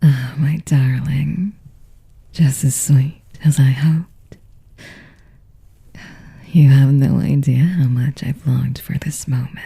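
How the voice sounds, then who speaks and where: A woman playing a sadistic, seductive vampire mistress in an intimate roleplay, whispering in commanding, condescending, cooing endearments with a husky, breathy voice. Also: fairly even intonation, slow pace, long pauses, warm tone, dry recording, exaggerated voice. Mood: gloating, seductive. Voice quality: breathy, husky whisper with creaky low tones.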